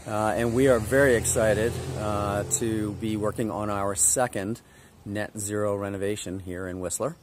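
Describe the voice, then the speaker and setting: A man in his fifties giving a casual walkthrough of a construction site to a handheld camera, relaxed and upbeat with frequent filler words. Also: lowish voice, moderate pace, noisy background. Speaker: builder giving a site tour. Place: outdoor construction site, then inside a framed house. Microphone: phone or camera held at arm's length.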